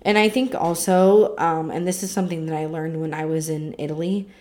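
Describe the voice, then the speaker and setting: Low-voiced young American woman chatting. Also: fairly even intonation, brisk, darkish tone. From United States. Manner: chatty, talkative, with many fillers. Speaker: podcast co-host. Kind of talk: casual chat.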